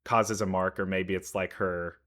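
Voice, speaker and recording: Bright-voiced man reacting casually. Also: deep voice, little pitch movement, moderate pace. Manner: thinks aloud, analytical asides. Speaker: reaction video host.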